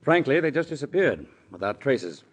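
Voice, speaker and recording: An actor playing a polished but uneasy male executive who hedges with many pauses, in 1940s radio acting style. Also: mid-range voice, big pitch swings. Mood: guarded. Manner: smooth, hesitant, with many uh's.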